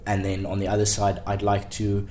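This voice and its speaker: A man's voice: lowish voice, fairly quick, slight background noise. A young man in his twenties talking casually as a podcast guest, rambling a little with fillers and restarts. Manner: relaxed, rambling with many ums and restarts. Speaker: podcast guest, startup founder. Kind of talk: casual interview chat.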